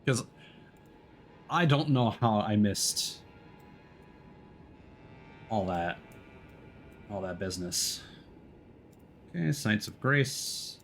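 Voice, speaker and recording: A man's voice: lowish voice, animated, drawn-out words, long pauses. A man giving casual live gameplay commentary as a streamer, with wry, playful asides as he narrates what he is doing. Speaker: video game streamer. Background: music behind the voice.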